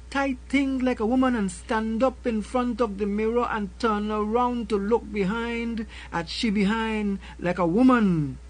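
An older man with a Caribbean accent recites a spoken word poem in a slow, rhythmic way, returning again and again to a refrain, at a desk mic in a small room. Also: sits high, rough recording. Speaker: poet. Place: small room with a colorful backdrop.

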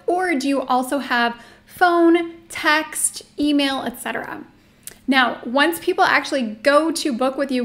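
A woman in her thirties presenting an upbeat instructional video as a coach, speaking directly and emphatically with an encouraging manner. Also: sits high. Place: small indoor room.

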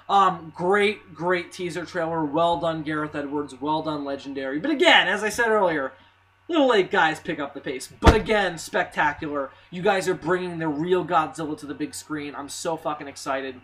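A hoarse young man with a slight cold, talking casually and excitedly about a movie trailer, with lots of ums and restarts. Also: sits high. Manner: excitable, rambling, swearing, many ums and restarts. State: hoarse, a little sick. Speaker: movie news vlogger. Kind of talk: casual spoken movie commentary.

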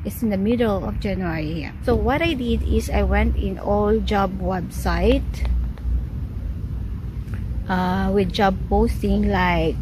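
A woman in her thirties chatting casually to her phone camera in a park, saying guys often, with plain non-native phrasing. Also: mid-range voice. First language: Filipino. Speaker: travel vlogger. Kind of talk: casual vlog chat to camera. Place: outdoors in a city park. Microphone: phone camera at arm's length, no headset. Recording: outdoor phone audio with steady background noise.

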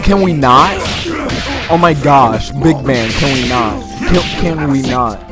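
A man giving excited, slangy video game commentary as a let's play host, exclaiming and repeating his phrases. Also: medium pitch, takes it slowly. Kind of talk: excited gameplay commentary with dramatic reading. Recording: game music behind the voice. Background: fighting game music.

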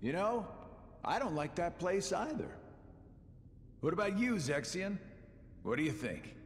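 A man's voice: high voice, sing-song, a little slow, big-room sound, a little hiss or hum, full character voice. A man voicing a sly, mocking middle-aged schemer in a video game, with a teasing, theatrical drawl. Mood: sly, casual. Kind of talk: video game cutscene acting.

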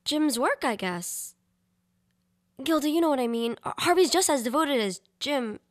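A woman's voice: high-pitched, lively intonation, normal speed, no echo, clean studio sound. An actress playing a kind, hopeful young mother in a radio drama, reassuring but hesitant. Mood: consoling.

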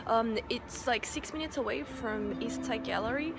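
A woman's voice: on the high side, moderate pace, vocal fry. A young woman from Romania, chatting casually as she films herself on city streets, with plenty of fillers as she thinks aloud. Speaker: travel vlogger. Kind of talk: casual travel vlog. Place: outdoors on city streets and at a train station. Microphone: phone camera at arm's length. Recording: music track playing loudly over it.

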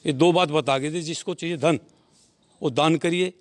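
A man's voice: a little high, moderate pace, no room sound. An older man with a western Uttar Pradesh accent answering as a local interviewee, often repeating himself. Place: outdoors at temple entrance.

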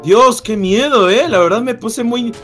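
Male gamer, high pitch, excited improvised chat, exclamatory and repetitive, faint music underneath. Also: unhurried. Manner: exclamatory, repeats short phrases. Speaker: gaming roleplay player.

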